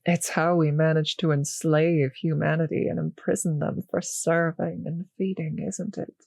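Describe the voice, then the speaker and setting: Woman acting a breathy, husky vampire mistress. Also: low voice, level tone, darkish tone, no room sound. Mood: haughty, cold. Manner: slow savouring, patronizing, breathy murmurs. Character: possessive, condescending vampire mistress, coolly cruel. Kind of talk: roleplay audio drama, intimate speech to listener.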